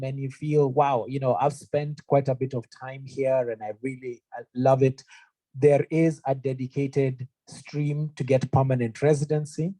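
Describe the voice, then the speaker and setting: Man from Kenya, young adult, warm mellow voice, dry close sound, earnest chatty talk. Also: medium pitch, no room sound, low-quality recording. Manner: earnest, conversational, frequent fillers. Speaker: university representative. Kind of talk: presentation to prospective students. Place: room presentation, also streamed online.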